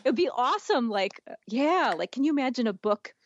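A woman's voice: sits high, animated, a little fast, muffled recording. A woman writer chatting informally and with enthusiasm as an interview guest. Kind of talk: casual interview chat.

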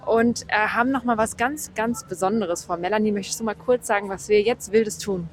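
A woman podcast host interviewing on location outdoors at a horse show, asking friendly, drawn-out questions. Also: medium pitch, slight background noise.

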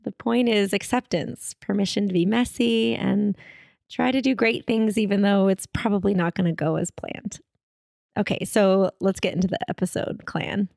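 A woman's voice: mid-range voice, fairly even intonation, normal speed, creaky, a little mellow, no room sound, clean studio sound. A woman co-hosting a podcast, chatting casually and playfully with her sister. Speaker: podcast co-host. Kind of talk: casual chat.

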